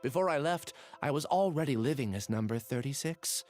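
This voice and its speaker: A man playing a troubled, earnest young scholar in a video game, speaking in a measured, confessional way. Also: medium pitch, animated, darkish tone, faint music behind, natural voice. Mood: solemn, resigned. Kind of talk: dramatic game voice acting.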